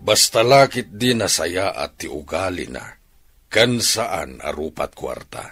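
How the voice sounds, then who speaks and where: An actor playing a measured older Filipino father with a husky voice, giving advice in a radio drama. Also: deep voice, expressive, a bit bright, full character voice. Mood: measured, concerned.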